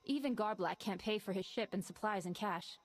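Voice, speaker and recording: An actress voicing a tired female ex-smuggler pilot in a video game, pitching a heist in a brisk, businesslike way. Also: close to an everyday voice. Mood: scheming.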